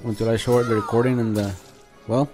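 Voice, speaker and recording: A man giving casual, unscripted gameplay commentary as a channel host, talking in a halting, conversational way. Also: medium pitch, level tone, a little mellow, faint music behind. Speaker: video game review channel host.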